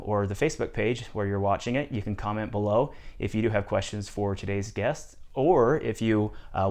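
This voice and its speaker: Male podcast host, lower-pitched, chatty and upbeat, stumbling on fillers. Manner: many "uh" fillers, upbeat delivery. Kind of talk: podcast host intro and interview.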